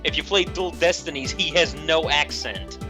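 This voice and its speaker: A man's voice: on the high side, normal speed. A man chatting bluntly alongside a game player, picking at details and teasing him. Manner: blunt, needling, critical. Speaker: co-commentator. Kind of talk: casual gameplay banter. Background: game music.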